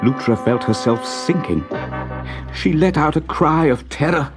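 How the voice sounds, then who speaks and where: A man narrating a children's story in a measured, dramatic, expressive manner. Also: fairly high pitch, music in the background.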